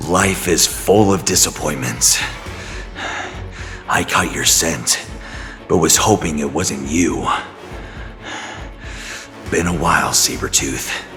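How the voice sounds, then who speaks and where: An actor playing a gruff, weary, tough brawler, muttering sardonically in a superhero audio drama. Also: male voice, very deep voice, takes it slowly, pauses often, a bit bright, full character voice. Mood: sardonic defiance. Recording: echoey tunnel reverb, music underneath.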